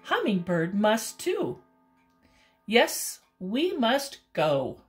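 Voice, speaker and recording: A woman's voice: medium pitch, wildly swooping pitch, extremely slow, faint music behind, full character voice. A woman voicing a sensible goose getting ready to fly south, reading from a children's story. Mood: storybook. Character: chilly, sensible goose about to migrate.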